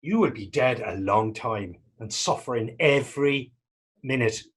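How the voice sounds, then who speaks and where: An actor playing a bitter, hot-blooded republican in a stage drama, emphatic and indignant. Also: male voice, mid-range voice, expressive, unhurried, slightly rough. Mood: bitter, sardonic. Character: hot-blooded, bitter, one-eyed male Irish republican. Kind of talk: stage readers theater drama.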